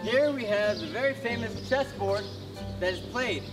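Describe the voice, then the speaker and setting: Halting man being interviewed, music behind him. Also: high voice, lively intonation. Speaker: actor in a behind-the-scenes interview. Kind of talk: casual on-camera interview.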